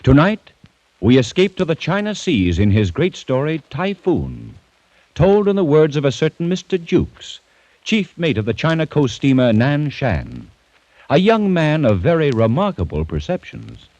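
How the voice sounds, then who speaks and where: A man narrating a 1940s radio series in a formal, measured, dramatic manner. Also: a little high, very animated, darkish tone, no room sound, low-quality recording. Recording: old radio recording.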